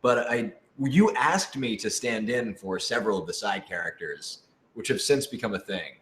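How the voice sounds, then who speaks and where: A man's voice: lowish voice, lively intonation, moderate pace, a bit bright. A man in a casual Q&A chat, effusive and jumping from one thought to the next. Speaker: voice actor in an audio drama. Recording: roomy, echoey room sound.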